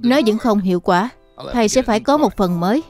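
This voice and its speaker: A woman's voice: medium pitch, animated, a little fast, darkish tone, soft background music, voice pushed down, close to an everyday voice. A woman voicing a friendly male teacher and handyman in a children's cartoon, talking casually as to a kid.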